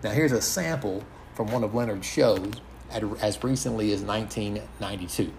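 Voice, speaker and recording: A man hosting an informal solo podcast in a halting, measured way. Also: on the low side, gravelly, a little mellow. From United States. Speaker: podcast host. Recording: audible background noise.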